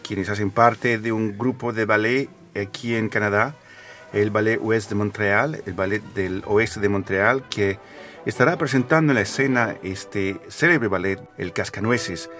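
A man hosting a radio interview in a studio, with a fairly low voice. He speaks in a measured, formal presenter's way, with soft music under his voice. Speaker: radio host.

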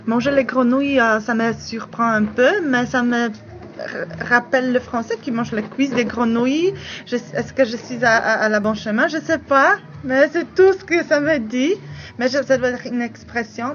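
A woman's voice: fairly high pitch, normal speed, fairly bright tone. Unsure woman on a dull line. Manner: unsure, musing. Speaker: caller guessing an expression.

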